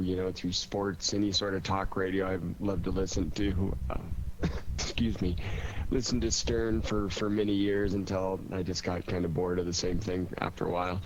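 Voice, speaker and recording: A man's voice: on the low side, muffled recording. A man talking casually and enthusiastically as a radio theater director on a panel. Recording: clear steady background noise.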